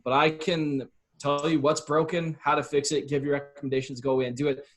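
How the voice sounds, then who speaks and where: A man explaining things earnestly and casually as an interview guest. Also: on the high side. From Calgary, Canada. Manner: earnest, explanatory, often voices example quotes. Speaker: guest, copywriter and consultant. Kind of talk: casual conversational interview talk.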